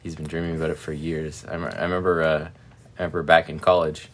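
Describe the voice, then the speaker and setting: Deep raspy guy in his 40s, chatty podcast host, keeps laughing. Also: flat delivery, fast talker. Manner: laughs often, joking, chatty. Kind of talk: casual chat.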